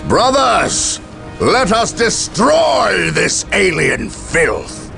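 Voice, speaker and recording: A man playing a stern, proud warrior captain in a video game cutscene, gravelly and formal in his commands. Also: sits high, very animated, very slow, over music, full character voice. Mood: rallying shout. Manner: formal, authoritative, declamatory.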